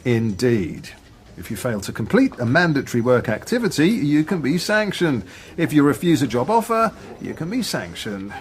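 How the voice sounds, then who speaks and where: An actor playing an officious, impatient job centre adviser in a radio play, reciting rules in a bureaucratic manner. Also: male voice, medium pitch, sing-song, moderate pace, a little hiss or hum, close to an everyday voice. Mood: stern, brisk.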